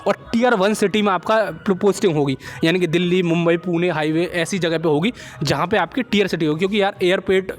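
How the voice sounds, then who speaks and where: A young man with an Indian accent hosting an informal explainer, talking to viewers like friends and often checking that they follow. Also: sits high. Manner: chummy, addresses viewers as friends, repeats check phrases. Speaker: job-update video host. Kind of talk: informal explainer of a recruitment notice.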